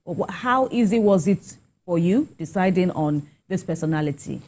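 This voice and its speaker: A woman's voice: on the low side, lively intonation, moderate pace, a little mellow. A woman with a Nigerian accent interviewing on television in a conversational way, circling wordily into her questions.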